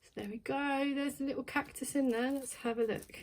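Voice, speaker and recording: A woman's voice: high voice, steady tone, fairly quick, a little hiss or hum. A woman chatting casually while unboxing a plant subscription box, thinking aloud with plenty of ums. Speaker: plant vlogger.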